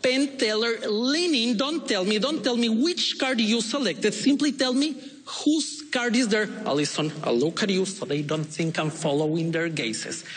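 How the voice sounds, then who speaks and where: A man from Ecuador with a Latin American Spanish accent, speaking as a stage magician to volunteers, deliberate and polite with playful, pointed pauses. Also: sits high, a bit bright. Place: TV show stage in a large hall. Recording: echoey stage hall with noise.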